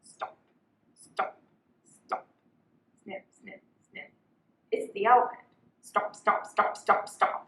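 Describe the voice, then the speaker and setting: A woman's voice: slow pace, pauses often, sharp tone. A young woman reading a children's picture book aloud as a volunteer storyteller. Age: young adult. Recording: roomy, echoing sound.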